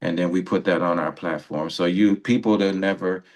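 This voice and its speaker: Low-voiced older man, chatting casually. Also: steady tone, moderate pace, darkish tone. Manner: rambling, self-interrupting. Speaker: radio show co-host. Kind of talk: casual conversational interview.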